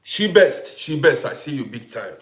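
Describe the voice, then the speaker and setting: A man's voice: medium pitch, big pitch swings, normal speed, reverberant. A middle-aged man from Congo hosting a casual live stream, talking emphatically, repeating himself and greeting viewers by name. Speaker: TikTok live host.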